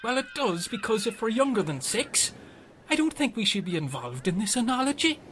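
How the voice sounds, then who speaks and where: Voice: mid-range voice, big pitch swings, a little fast, a bit bright, slight background noise. An actor playing a pedantic castaway in a comic radio play, literal-minded and questioning.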